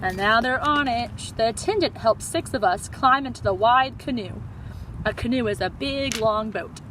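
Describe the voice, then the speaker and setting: A woman with a high, very lively voice reads a story to children like a teacher. Her delivery is animated, and faint outdoor traffic noise sits behind her. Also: moderate pace. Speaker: teacher reading aloud to children.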